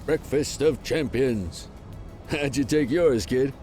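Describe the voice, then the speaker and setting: An actor playing an easygoing, wisecracking middle-aged American police officer mentoring a rookie, folksy and wry in a video game. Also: male voice, mid-range voice, slow, darkish tone, faint music behind, close to an everyday voice. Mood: wry, relaxed. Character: easygoing, wisecracking veteran male street cop mentoring a rookie. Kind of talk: video game cutscene acting.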